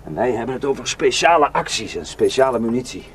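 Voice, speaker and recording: A man's voice: medium pitch, very animated, quick pace. An actor in a radio drama playing a weary, bitter, well-travelled man who grimly warns of atrocities. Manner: grim, cursing, rambling storyteller. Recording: noisy radio play recording.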